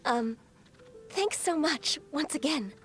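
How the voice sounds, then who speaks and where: A woman voicing a gentle, shy, dutiful half-human young woman in a video game, speaking politely and formally with some hesitance. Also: high voice, expressive, takes it slowly, soft background music, exaggerated voice. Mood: bashful. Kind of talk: video game character dialogue.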